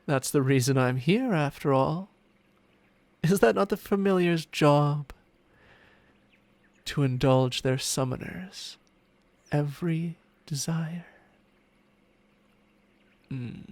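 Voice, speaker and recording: A man playing a smug, possessive ancient dragon familiar in an intimate ASMR roleplay, teasing and doting in breathy murmurs that slip into whispers. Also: a little high, slow pace, pauses often, darkish tone. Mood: coaxing, indulgent. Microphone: close-up microphone with spatial panning.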